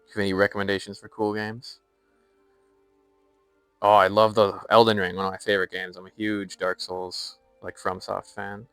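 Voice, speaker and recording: A man's voice: on the low side, level tone, normal speed, a bit bright, clean tone, no echo, soft background music. A young American man chatting casually on a live stream while playing a game and reading its lore aloud. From United States.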